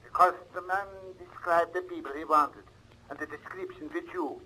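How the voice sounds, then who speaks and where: A stern, indignant room clerk in a 1940s radio drama. His voice sits a little high for a man. He is curt and scolding, with no patience for excuses. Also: fairly even intonation, moderate pace, bright, forceful tone, slight background noise, poor audio.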